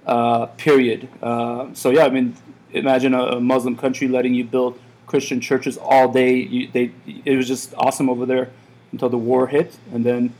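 A man's voice: mid-range voice, level tone, moderate pace, darkish tone, rough recording. Rambling man full of fillers. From Iran. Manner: rambling, many fillers and restarts. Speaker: café owner. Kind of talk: casual interview.

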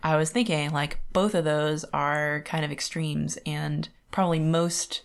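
Woman, lower-pitched voice, podcast co-host, casual, opinionated, conversational, lots of "like". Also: fairly even intonation, a little slow, creaky. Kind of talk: casual book discussion.

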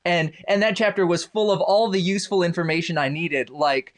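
A man's voice: high-pitched, a little fast. A man who grew up in Indonesia, a podcast guest, telling his personal story with wry, self-deprecating humor. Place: home basement.

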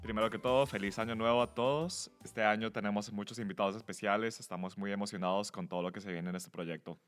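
A man hosting a science podcast, opening the show with upbeat, brisk welcomes. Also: lowish voice, fairly even intonation, faint music behind. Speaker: podcast host.

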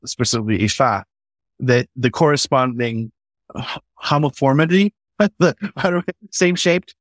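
A man's voice: mid-range voice, moderate pace, fairly bright tone, dry recording. A man speaking in an enthusiastic, conversational way, swearing casually and often restarting his sentences. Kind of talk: conversational podcast discussion.

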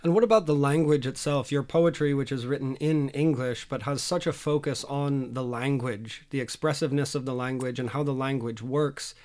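A man's voice: mid-range voice, normal speed, hardly any pauses, darkish tone. A male interviewer with an ordinary mid-range voice. He asks his guest long, carefully framed questions in a courteous tone. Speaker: interviewer, podcast host.

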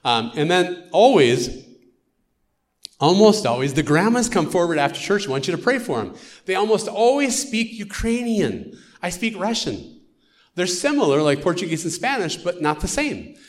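A man's voice: sits high, very animated, moderate pace. A middle-aged American man, a missionary, giving an earnest storytelling testimony in church. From United States.

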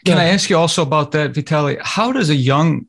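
A man's voice: on the high side, brisk, gravelly, fairly bright tone. A man hosting a podcast, introducing his guest from a script and then asking questions. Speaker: podcast host.

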